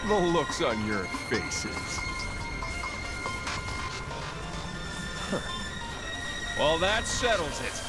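A cocky, slow-drawling villain. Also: male voice, on the high side, big pitch swings. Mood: taunting. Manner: sardonic, drawling, theatrical. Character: cocky, nihilistic dark-armoured male villain, mocking humankind. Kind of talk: dramatic video game cutscene acting. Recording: loud orchestral music behind.

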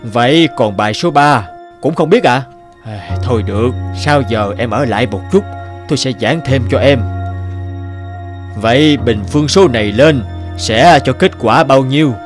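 A man's voice: mid-range voice, animated, moderate pace, lightly acted. Man acting patient elderly male teacher, music behind. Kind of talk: animated drama dialogue.

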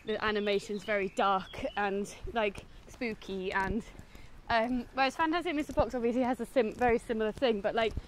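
A woman's voice: on the high side, normal speed. A woman, casually chatting through a film review while walking outside. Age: 20s. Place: outdoors, walking on a woodland path. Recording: outdoor ambient noise.

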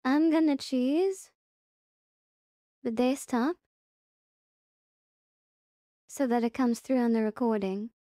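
Woman, high-pitched, soft mellow tone, tutorial presenter, casual, step-by-step, thinking aloud. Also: measured pace, dry recording, clean studio sound. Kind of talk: casual software tutorial narration.

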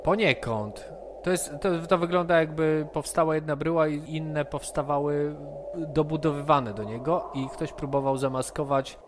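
A man running a tabletop game, describing scenes in a measured, descriptive way. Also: on the high side. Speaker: game master. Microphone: computer headset or desk mic, video call. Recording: noisy online session audio.

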